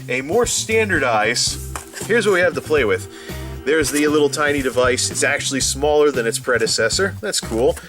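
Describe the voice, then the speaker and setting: A man's voice: medium pitch, animated, moderate pace, music in the background. A man hosting a casual, unscripted gadget unboxing, rambling and interrupting himself as he thinks aloud. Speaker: gadget unboxing video host. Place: indoors at a desk, small room.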